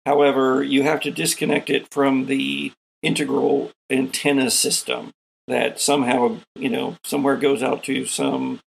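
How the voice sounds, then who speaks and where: Gravelly man narrating as game master. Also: mid-range voice, little pitch movement, normal speed, rough recording. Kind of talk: improvised tabletop game narration.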